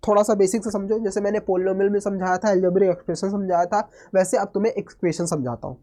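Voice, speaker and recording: A man teaching an online maths lesson, hoarse from a cold, energetic, mixing Hindi with English phrases and constantly checking in with students. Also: sits high. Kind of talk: online exam-prep lesson.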